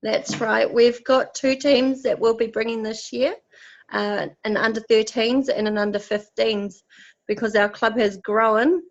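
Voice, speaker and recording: A woman from New Zealand's far north with a New Zealand accent, a netball team organiser answering interview questions in a relaxed, friendly way. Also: fairly high pitch, unhurried, highs cut off. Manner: relaxed, friendly, some ums.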